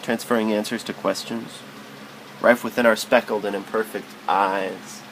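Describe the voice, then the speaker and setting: A man's voice: fairly low pitch, steady tone, moderate pace, a bit bright, a little hiss or hum, rough recording. A man reading literary prose aloud as spoken word, in a musing, philosophical manner.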